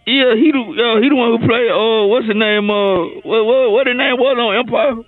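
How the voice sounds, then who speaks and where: A man's voice: very high-pitched, fairly even intonation, moderate pace, no echo. A man playing a distraught, slangy young man who stammers and wails tearfully in a comedy radio skit. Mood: flustered. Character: distraught, slangy young man crying over a lost acting part. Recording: muffled lo-fi line, music underneath.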